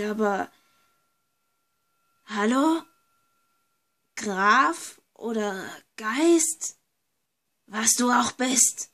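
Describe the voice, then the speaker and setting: A woman playing a young friend braving a haunted villa, subdued and measured, in an amateur horror audio drama. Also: fairly high pitch, fairly bright tone, breathy edge, heavily acted. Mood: tentative.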